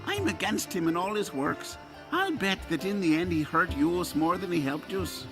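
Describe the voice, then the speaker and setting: A man's voice: sits high, animated, normal speed. A man playing a pious, curious and sly country priest with an Irish accent in an audio drama. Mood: probing. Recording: music underneath.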